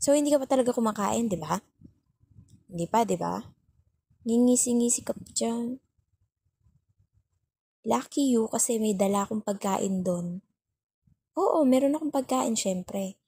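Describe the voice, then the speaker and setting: A woman's voice: fairly high pitch, a little slow, vocal fry, pauses often, warm tone, breathy edge, exaggerated voice. A woman with a Filipino accent playing a bossy but caring, worried young girlfriend who scolds and sulks in an intimate ASMR roleplay. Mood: annoyed, sulky. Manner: scolding, sulky, teasing, repeats herself for emphasis.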